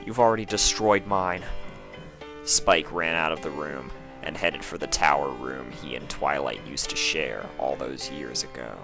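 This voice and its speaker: A man narrating a fan fiction reading in an even, low-key manner. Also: a little slow, vocal fry, few pauses, music in the background. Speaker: fanfic reader. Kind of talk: storybook narration.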